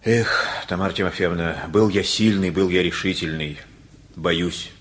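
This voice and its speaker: A low-pitched older man in a radio drama. He is a simple-hearted working-class father, anxious and folksy, feeling his way through each thought with homely, hesitant phrases. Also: unhurried, fairly bright tone. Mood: troubled, confiding. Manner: folksy, colloquial, hesitant, with many fillers. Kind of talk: radio play acting.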